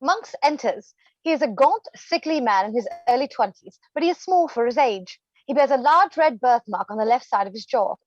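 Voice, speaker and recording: A woman's voice: fairly high pitch, animated, moderate pace, dry recording, highs cut off. A woman reading stage directions as scripted narration for a play.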